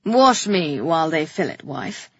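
A cruel king from an audiobook, acted out by a woman, husky and low, snapping out orders with snarling authority. The delivery is forceful and measured, in a slightly dull recording. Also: very animated, dry recording, exaggerated voice. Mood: commanding. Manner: snarling, barked commands. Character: cruel, domineering king, male, commanding and snappish husband. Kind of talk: audiobook character voice.

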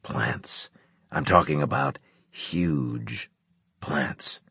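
A man voicing a grim male centurion in an audiobook, terse, grave and deliberate as a commander. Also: very deep voice, gravelly, pushed and bright, slightly rough, no echo, pitched below the performer's own voice, exaggerated voice. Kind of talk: audiobook character dialogue.

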